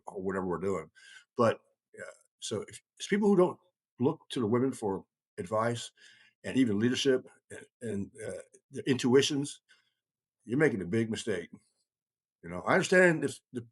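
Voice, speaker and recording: Middle-aged man, lowish voice, conversational podcast talk; wanders through his points and repeats words to press them home. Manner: rambling, emphatic, repeats phrases for stress.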